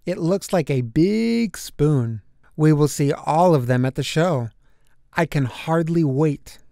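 A man narrating a children's picture book aloud, with deliberate, expressive phrasing for young listeners. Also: on the high side, gravelly, no echo, clean studio sound. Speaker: storybook narrator.

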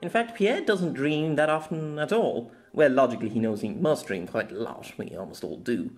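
A man's voice: a little high, animated, normal speed, faint music behind. A man giving a scripted narration of a fantasy story.

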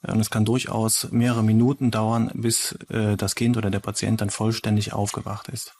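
A man explains something as a medical expert in an interview. His voice is low with little rise and fall. He speaks haltingly, often searching for the next word. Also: level tone, darkish tone, soft background music.

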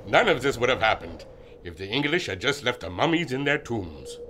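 An actor playing an excitable, protective inventor in an audio drama. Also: male voice, mid-range voice, moderate pace, slight background noise. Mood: regretful, gruff. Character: excitable German inventor, protective of his robot. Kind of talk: radio adventure drama acting.

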